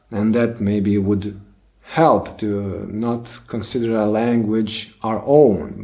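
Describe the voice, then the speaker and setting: Slow, mellow-voiced reflective man. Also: on the low side. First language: Bosnian. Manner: slow, thoughtful, many fillers and restarts. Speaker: channel host. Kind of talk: reflective solo monologue.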